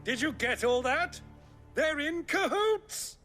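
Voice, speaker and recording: A man's voice: very high-pitched, animated, slow pace, sharp tone, faint music behind, full character voice. A hammy boastful nobleman. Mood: gleeful. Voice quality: theatrical, hammy. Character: flamboyant boastful nobleman uncle, theatrical. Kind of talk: video game cutscene acting. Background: game score.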